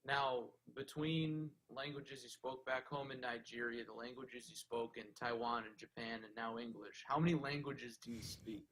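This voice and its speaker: A man's voice: mid-range voice, steady tone, moderate pace, few pauses, a bit bright, rough recording. Conversational male sports show host. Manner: conversational, friendly, leads with "you know". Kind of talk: interview questions.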